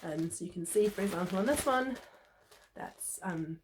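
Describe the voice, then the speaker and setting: Female sewing vlogger, New Zealand accent, lower register, a touch hoarse with a cold, informal chatty delivery. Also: expressive, moderate pace, a little hiss or hum. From New Zealand, Christchurch. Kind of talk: casual sewing tutorial chat.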